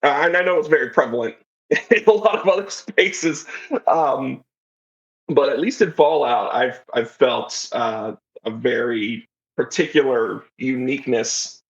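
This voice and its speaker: A man's voice: mid-range voice, unhurried, dull audio. A man giving a casual, thoughtful, rambling answer as an interview guest. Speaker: interview guest, audio drama writer and creator.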